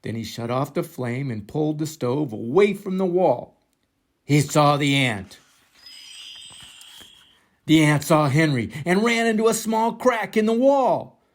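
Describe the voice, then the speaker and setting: An older man reads a picture book aloud for young children. His delivery is slow and deliberate, with very animated rises and falls in pitch. He leans on key words and stretches them out dramatically. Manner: dramatic, deliberate, drawn-out emphasis. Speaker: storybook reader for children.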